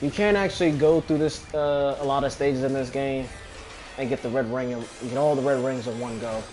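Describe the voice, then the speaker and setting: A man's voice: mid-range voice, steady tone, normal speed, darkish tone, soft background music. A man casually commentating on a video game as he plays, grumbling and swearing. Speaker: gamer commentating on own play.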